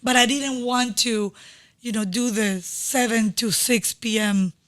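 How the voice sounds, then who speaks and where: A woman in her forties from Venezuela, a native Spanish speaker with a Venezuelan Spanish accent, talking as a podcast guest in a studio. She speaks in a warm, halting way with many pauses and small grammar slips. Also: on the high side, fairly even intonation. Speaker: guest, real estate agent. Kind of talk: casual interview.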